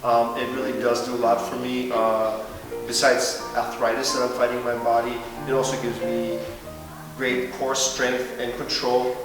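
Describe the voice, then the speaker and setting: A man's voice: mid-range voice, little pitch movement, moderate pace. Man from Turkey in an echoey hall. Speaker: adult ballet student and video director. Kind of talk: testimonial interview. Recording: roomy hall echo, distant mic, music behind.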